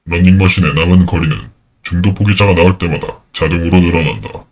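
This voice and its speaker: A man voicing a mysterious, cold game master who makes formal announcements in a husky, commanding voice. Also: deep voice, fairly quick, darkish tone.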